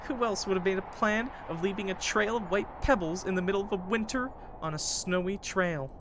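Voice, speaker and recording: A man's voice: high voice, fairly quick, background noise, voice pushed up, close to an everyday voice. A man voicing an anxious young girl in a visual novel, sarcastic and exasperated, with pleading moments.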